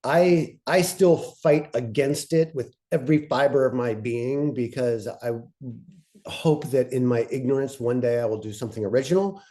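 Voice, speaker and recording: Guy with a soft mellow voice explaining stuff, kinda halting, thinking out loud. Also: medium pitch. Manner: halting, thinking aloud with broken-up phrases. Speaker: co-presenter of a fiction podcast pitching webinar. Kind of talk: informal instructional talk.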